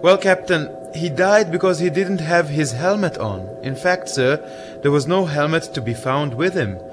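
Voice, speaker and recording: A man's voice: a little high, lively intonation, normal speed, close to an everyday voice. Sombre dutiful space crewman played by a man, noisy. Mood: earnest, baffled. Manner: deferential, earnest. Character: sombre, dutiful astronaut crewman reporting to his captain. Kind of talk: radio drama acting.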